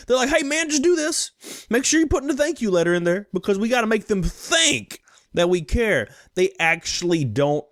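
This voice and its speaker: A man's voice: high-pitched, a bit bright, no echo, studio quality. A man giving casual, blunt and sarcastic commentary as a streamer reacting to a recording.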